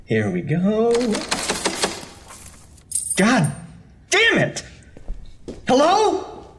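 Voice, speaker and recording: A man's voice: very high voice, wildly swooping pitch, very slow, background noise, exaggerated voice. A man playing a frightened big-city architect. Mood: uneasy. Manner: skeptical and uneasy, turning to panicked pleading. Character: big-city architect alone in a dark library. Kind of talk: audio horror drama acting.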